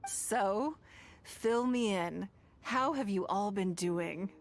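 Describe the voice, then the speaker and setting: An actor, a woman, playing a poised, gracious and shrewd noblewoman in a video game, composed and measured. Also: medium pitch, soft background music. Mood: fond, gracious.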